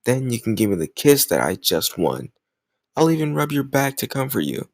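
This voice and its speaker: Man playing a cocky, gravelly flirt. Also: medium pitch, big pitch swings, no room sound. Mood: smug, flirtatious. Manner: teasing, cajoling, smug, drawn-out words. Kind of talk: intimate romantic audio roleplay.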